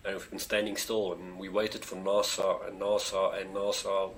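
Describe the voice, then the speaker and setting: A man's voice: deep voice, slight background noise, poor audio. Rambling South African man streaming live. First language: Afrikaans. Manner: rambling, many fillers, eager pleas to viewers. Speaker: space channel streamer. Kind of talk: casual live stream chat. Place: home near a street.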